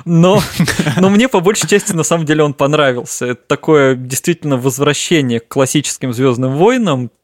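A man's voice: a little high, brisk, dry recording, clean studio sound. A man co-hosting a podcast, chatting casually and animatedly about films, with plenty of fillers. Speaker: podcast co-host. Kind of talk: casual film discussion.

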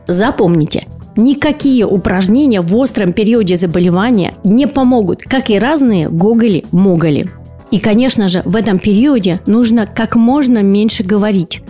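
A woman's voice: mid-range voice, normal speed, warm tone, soft background music. A woman speech and voice therapist hosting an educational podcast, explaining patiently and posing rhetorical questions to her listeners.

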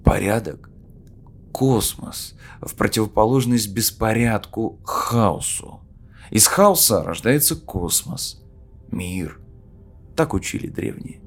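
A man's voice: fairly low pitch, expressive, slow. A man hosting a podcast, reciting a historical speech in a solemn, declamatory and oratorical manner. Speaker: podcast host reading famous speeches. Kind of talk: dramatic recitation of a historical speech with spoken intro. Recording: music under the voice. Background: music.

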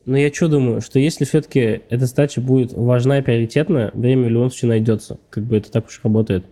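A man's voice: medium pitch, fairly even intonation, fast, mellow. A man talking casually as a podcast guest, thinking aloud and hedging his points. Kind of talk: casual podcast interview talk.

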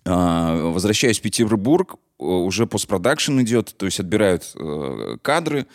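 A man's voice: deep voice, measured pace. A man speaking as an interview guest, telling anecdotes casually, acting out dialogue and dropping slangy asides. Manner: animated retelling with acted-out dialogue and slangy asides.

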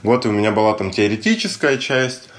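A man's voice: fairly low pitch, brisk. Young man telling a story, swearing freely. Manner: animated retelling with acted-out quotes and heavy swearing. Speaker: podcast co-host. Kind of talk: casual storytelling chat.

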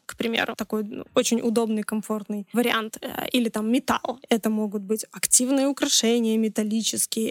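Creaky-voiced woman explaining style. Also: on the high side, no room sound, clean studio sound. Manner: hesitant, explanatory, with fillers and laughs. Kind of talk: conversational expert explanation.

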